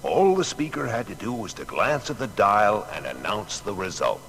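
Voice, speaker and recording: An actor playing an earnest, plain-spoken male inventor recalling his work in a measured way for a dramatised biography. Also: lowish voice, big pitch swings, fairly bright tone. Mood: explanatory, proud. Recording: long hall reverb, faint noise.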